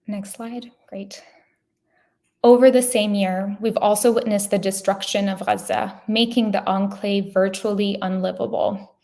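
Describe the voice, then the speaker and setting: A woman with a strongly gravelly, fry-heavy voice gives an academic talk over a video call. Her delivery is calm, sincere and steady, at a middle pitch. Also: a little slow. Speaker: psychology researcher presenting. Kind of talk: academic presentation.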